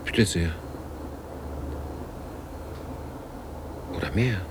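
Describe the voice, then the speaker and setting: A gloomy older man in a radio drama, sardonic and terse. The low-pitched voice moves slowly but swings widely in pitch, over clear background noise. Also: animated. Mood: resigned. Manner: terse, dry, questioning.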